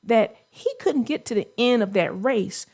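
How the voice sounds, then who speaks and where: A woman's voice: on the high side, animated, normal speed, a little mellow. A woman hosting a casual discussion of a children's story for young listeners, speaking in an earnest, emphatic way with a moral point. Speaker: host and children's book narrator.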